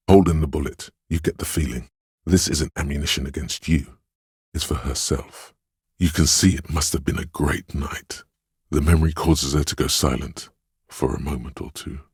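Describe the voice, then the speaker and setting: A man voicing a sensitive inner voice in a video game, narrating other people's feelings in a measured, gentle, literary way. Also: a bass voice, husky, dry recording, studio quality, exaggerated voice.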